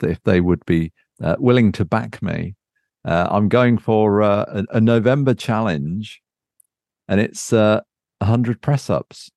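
A man's voice: fairly low pitch, a little mellow, no room sound, clean studio sound. A man co-hosting a podcast in casual, unscripted chat. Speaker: podcast co-host.

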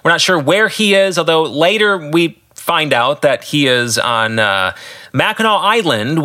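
A man from the United States hosting a podcast, giving informal solo commentary on his own book with halting pauses. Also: on the high side, bright, forceful tone.

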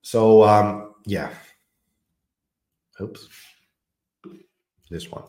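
Low-voiced man in an echoey room. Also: very slow, a little mellow. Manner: hesitant, many fillers and repeated words. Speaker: podcast host. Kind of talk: casual technical discussion.

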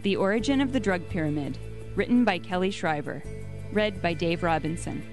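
A woman host announces titles, authors and listening times in a clear, formal way. Her voice is a little low, and soft music plays under it. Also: lively intonation, normal speed. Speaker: podcast host. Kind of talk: scripted announcing.

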